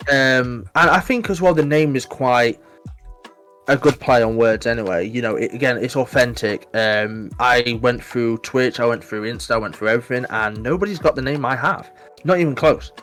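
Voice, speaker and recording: A man talks on a casual podcast, animated and emphatic. His pitch sits in an ordinary middle range. Faint music plays underneath. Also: moderate pace. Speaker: podcast guest, Twitch mod.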